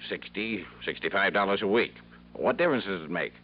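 A man's voice: deep voice, lively intonation, fairly quick. A man playing a pompous, blustering older factory owner in a 1950s radio drama. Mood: indignant, miserly. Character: gruff, miserly, pompous older businessman, self-righteous factory owner. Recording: dull vintage radio recording, hum.

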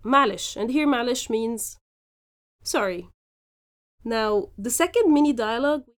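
A woman hosting a podcast, teaching phrases slowly and explaining each example in turn. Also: on the high side, expressive. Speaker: podcast host and Arabic teacher. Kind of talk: language lesson podcast.

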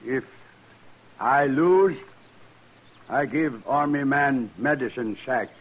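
Stoic chief for a radio western: husky, very slow, halting broken English, proud and terse. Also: male voice, medium pitch. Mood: calm, resolute. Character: stoic, dignified Kiowa chief, terse and unbluffed. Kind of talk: 1950s radio western acting. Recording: vintage 1950s radio recording.

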